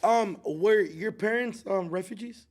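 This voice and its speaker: A man's voice: high-pitched, measured pace. A man in his twenties hosting a casual podcast chat, talking loosely with slang and frequent laughs. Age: 20s. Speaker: podcast host.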